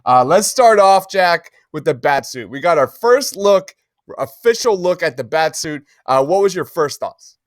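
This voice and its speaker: Upbeat male podcast host, fairly high voice. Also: expressive, unhurried, dry recording, clean studio sound. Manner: enthusiastic, informal, slangy, peppers talk with "man" and "dude". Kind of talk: casual pop-culture podcast chat.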